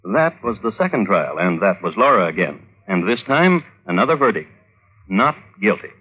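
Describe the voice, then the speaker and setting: An actor playing a droll connoisseur of historical crimes, narrating wryly and deliberately in old-time radio style. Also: male voice, lowish voice, big pitch swings, husky. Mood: wry storytelling. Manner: wry, deliberate phrasing. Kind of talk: 1950s radio storytelling. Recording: old radio broadcast, tinny narrow band.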